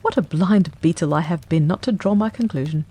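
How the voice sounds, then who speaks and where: A woman voicing a brisk, confident male detective in an audiobook reading, quick and emphatic. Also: fairly low pitch, dark tone, breathy edge. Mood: eager.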